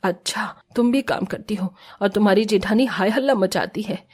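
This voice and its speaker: Cartoon matriarch woman acting: medium pitch, stern, scolding, commanding mother-in-law. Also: moderate pace, darkish tone, slightly rough, lightly acted. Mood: scolding, sarcastic. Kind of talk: cartoon family drama acting.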